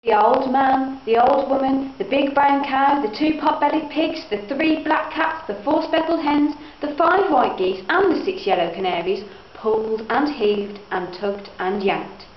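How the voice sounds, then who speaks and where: A woman's voice: high voice, measured pace, few pauses. A young woman reading a children's picture book aloud as a student narrator. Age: young adult. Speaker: student reader. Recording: echoey, muffled room, faint background noise.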